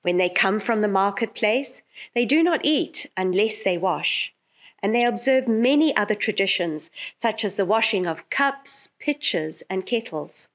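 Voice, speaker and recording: A middle-aged woman giving an expressive, deliberate Bible reading with emphatic phrasing. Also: mid-range voice. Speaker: pastoral carer, Bible reader. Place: indoor chapel at a lectern. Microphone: gooseneck lectern microphone.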